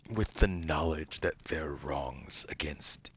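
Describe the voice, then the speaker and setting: A man playing a seductive, cunning devil in an ASMR roleplay, whispering breathily and gravelly in a theatrical, teasing way. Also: a bass voice, takes it slowly, a little hiss or hum. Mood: darkly menacing. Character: seductive, cunning otherworldly male devil offering tempting deals.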